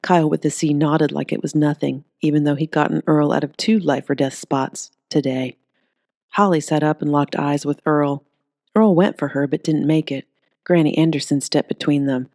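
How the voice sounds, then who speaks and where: Lady reading an audiobook story, lowish voice, really dry recording. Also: steady tone, moderate pace, darkish tone, dry recording. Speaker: audiobook narrator and author reading aloud. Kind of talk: expressive scripted story narration.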